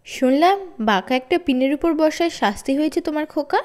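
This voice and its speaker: Serious storybook dad, read by a woman in a high voice, polite, measured, fair. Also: animated, darkish tone. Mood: questioning. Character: grave, calm, fair-minded father on a school board.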